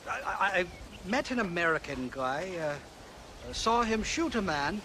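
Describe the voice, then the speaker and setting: High-pitched, swooping Irish drunkard. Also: male voice, big pitch swings, measured pace, noisy background. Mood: vague, merry. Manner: rambling, chuckling, hesitant. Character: merry, rambling, forgetful drunken Irish rogue. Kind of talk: video game cutscene acting.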